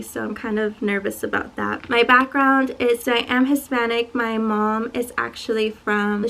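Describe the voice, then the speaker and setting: A woman in her twenties from Dallas, Texas, chatting casually to camera in a get-to-know-me Q&A video, soft-spoken and a little shy. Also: fairly high pitch, creaky. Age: 20s. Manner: soft-spoken, self-described shy and quiet. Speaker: YouTuber.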